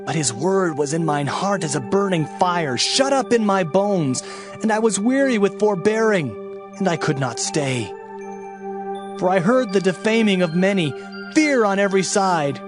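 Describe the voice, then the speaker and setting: A fiery, anguished prophet acted by a man declaims in a fairly high male voice over clear background music. The delivery is solemn and archaic, in the manner of dramatised scripture. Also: expressive, normal speed. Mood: anguished, lamenting. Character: anguished, fiery Hebrew prophet pronouncing doom.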